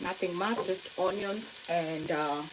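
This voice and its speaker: A Kenyan-accented woman talks viewers through home cooking in a casual, step-by-step manner. Her delivery is very slow and halting, with pauses as she works. Food sizzles and clatters clearly behind her in the kitchen. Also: lowish voice. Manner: unhurried, halting, explains step by step. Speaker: home cook hosting a cooking tutorial. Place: kitchen, indoors. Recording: noisy kitchen, sizzling frying sounds. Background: frying sizzle, stirring, clicks.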